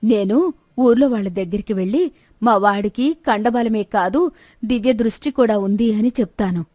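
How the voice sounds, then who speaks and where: A woman's voice: medium pitch, normal speed, mellow, no echo, studio quality, lightly acted. A woman voicing a commanding, scheming village woman in a story. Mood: bossy, scheming. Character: bossy, scheming village woman covering for her man.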